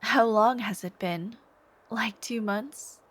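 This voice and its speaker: A woman's voice: a little high, a bit bright, no room sound. A woman playing a smitten, sweetly menacing young supervillain stalker in an intimate ASMR roleplay, coy and theatrical, sometimes murmuring. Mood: admiring, obsessed. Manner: coy, unhurried, theatrical, lapsing into breathy murmurs.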